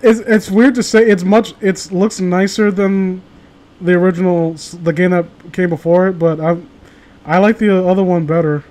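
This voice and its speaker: A man with a high-pitched voice chats casually about games as a streamer. He rambles through his thoughts in loose, conversational phrasing and curses freely. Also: fairly even intonation, normal speed, warm tone. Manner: profane, rambling. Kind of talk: casual gaming chat.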